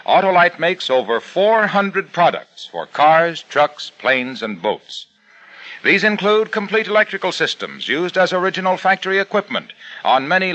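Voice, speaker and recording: A man reading a 1950s radio commercial in a brisk, emphatic pitchman's delivery. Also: sharp tone. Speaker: radio sponsor announcer. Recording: dull old radio broadcast with faint music.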